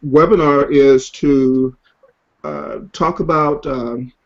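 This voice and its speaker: A man hosting a webinar informally, introducing guests in a halting, ad-libbed way. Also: mid-range voice, vocal fry, darkish tone. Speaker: webinar host. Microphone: online webinar connection. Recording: dull lo-fi webinar audio.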